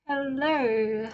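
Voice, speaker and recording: A woman hosting a laid-back livestream chat, her voice raspy and hoarse today, often laughing between remarks. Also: sits high, highs cut off. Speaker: livestream host. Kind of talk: casual chat with viewers.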